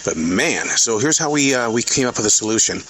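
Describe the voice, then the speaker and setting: A man's voice: mid-range voice, expressive, a little fast, fairly bright tone, breathy edge, poor audio. A man from the American South, hosting his podcast as a chatty, sarcastic work diary recorded while walking around a retail store. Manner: chatty, wry, sarcastic, restarts often. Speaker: podcast host. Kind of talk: casual spoken work diary.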